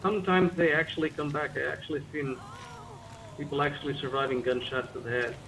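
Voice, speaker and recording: A man's voice: on the high side, steady tone, fairly quick, fairly bright tone. A man talking casually in character as a medic in a roleplay game, over noisy voice chat. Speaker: roleplay gamer playing a medic. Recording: clear background noise on game voice chat.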